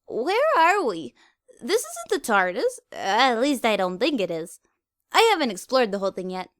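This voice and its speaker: A high, bouncy young mare pony with a ditzy, excitable streak, as a woman reads her out. Her voice leaps up and down in pitch, recorded dry and close. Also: normal speed, no room sound. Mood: puzzled. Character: cheerful young female pony companion, ditzy.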